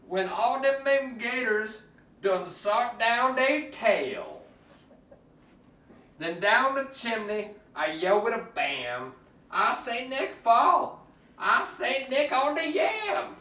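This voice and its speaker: A man's voice: very high-pitched, very animated, slow, bright, forceful tone. A man reads a storybook aloud in a theatrical, playful, exaggerated way. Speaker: storyteller reading a picture book aloud. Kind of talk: theatrical storybook reading in Cajun dialect. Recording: echoey room, distant mic.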